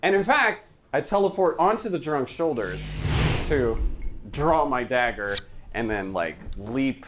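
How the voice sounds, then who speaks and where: A man's voice: mid-range voice, expressive, unhurried, noisy background. A man chatting casually as a player in a tabletop fantasy game, describing his moves and asking questions.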